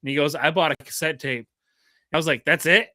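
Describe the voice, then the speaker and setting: Host guy, kinda high and bright voice, dry close sound, relaxed chatty. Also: brisk, no room sound. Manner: relaxed, brief backchannel replies. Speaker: podcast host. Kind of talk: casual interview chat.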